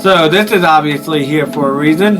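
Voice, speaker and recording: A man's voice: on the high side, a little fast, over music, poor audio. A man hosting a horror game video with informal, rambling commentary, swearing freely. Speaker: gaming video host.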